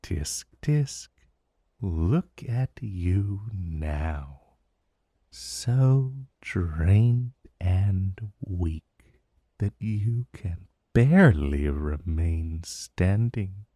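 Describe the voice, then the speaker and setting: An ancient, haughty dragon in human form, acted by a man for fantasy ASMR audio. The voice is fairly low and extremely unhurried, recorded close and dry. It purrs with theatrical condescension, teasing the listener with veiled menace. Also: lively intonation, warm tone, breathy edge, no echo, exaggerated voice. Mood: condescending, menacing. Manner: slow, theatrical, condescending purr with mocking endearments.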